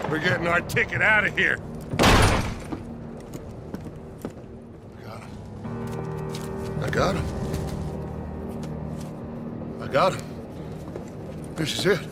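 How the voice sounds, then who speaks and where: An actor playing a grandiose, scheming middle-aged American outlaw leader, theatrical and commanding, in a dramatic video game performance. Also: male voice, sits high, expressive, a little slow, pauses often, music in the background. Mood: elated, scheming.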